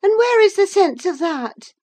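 A woman acts out a flighty teenage girl from a classic storybook. Her voice is pitched high and swoops about, with a peevish, exclaiming petulance. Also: expressive, a little slow, darkish tone. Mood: petulant, cross. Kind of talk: audiobook character acting.

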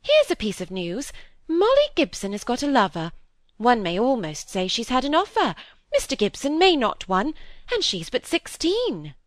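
A woman voicing a bossy, impatient older spinster from a storybook, brisk and exclamatory, often breaking in. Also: on the high side, wildly swooping pitch, no room sound, studio quality, voice pushed up. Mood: gossipy, excited.

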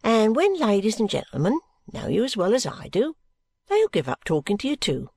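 A hearty, sharp-tongued soldier's wife as a woman reader plays her in a storybook. She scolds with fond, emphatic indignation, her pitch swinging widely, in a dry, close recording. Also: wildly swooping pitch, moderate pace, gravelly, slightly rough, no room sound, heavily acted. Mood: brisk. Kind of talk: storybook character dialogue.

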